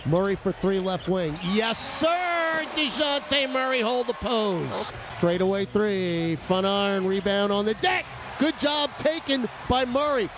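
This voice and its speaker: A man calls live basketball in a very high, excited voice over a noisy arena. His clipped play-by-play swells into shouts and theatrical flourishes. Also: measured pace. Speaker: radio basketball play-by-play announcer. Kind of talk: excited live sports commentary. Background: crowd and bouncing basketball.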